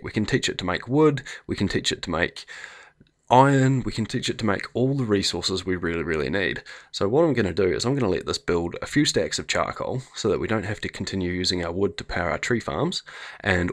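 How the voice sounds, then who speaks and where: A man with a New Zealand accent and a slightly croaky voice, chatting casually as he thinks aloud through a game. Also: deep voice. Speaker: gameplay video host. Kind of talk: casual let's play commentary.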